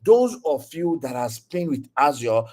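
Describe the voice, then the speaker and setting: A man's voice: fairly high pitch, big pitch swings, unhurried, a little mellow. A man with a Nigerian accent giving a casual online tech lecture over a video call, chatty and repetitive, often checking in with "okay?". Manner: chatty, repeats words, frequent "okay?" check-ins. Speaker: guest speaker, tech community leader.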